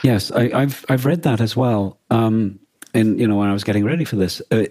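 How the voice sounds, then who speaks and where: Deep-voiced man in thoughtful discussion. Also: fairly even intonation, moderate pace, mellow, no echo. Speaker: podcast co-host. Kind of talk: casual discussion.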